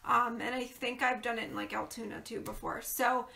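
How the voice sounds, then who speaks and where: A woman's voice: fairly high pitch, level tone, moderate pace, vocal fry, bright, forceful tone. A woman who is losing her voice, chatting casually and rambling with lots of ums and laughs while hosting a crafting video. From Pennsylvania, USA. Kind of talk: casual crafting livestream chat.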